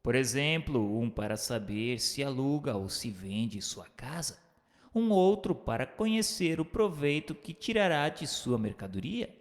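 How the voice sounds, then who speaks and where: A man playing an earnest questioner, reading questions and notes formally and deliberately in a scripted radio drama. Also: fairly high pitch. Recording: long hall reverb, music bed.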